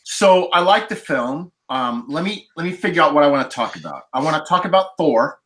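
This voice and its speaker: A man in a casual roundtable discussion, laying out his points as a podcast panelist in deliberate, repeated phrases. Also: on the high side, big pitch swings, fairly bright tone. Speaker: podcast panelist reviewing films.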